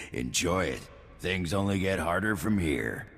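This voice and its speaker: An actor playing a commanding master of a bounty hunters' tournament in a video game, theatrical and authoritative. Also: male voice, low voice, lively intonation, slow pace, fairly bright tone, soft background music, exaggerated voice. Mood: amused. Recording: long arena-like reverb.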